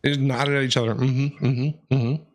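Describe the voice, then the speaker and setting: A man's voice: mid-range voice, level tone, moderate pace. A man giving casual live playthrough commentary as a game streamer, informal and reactive, with jokey asides.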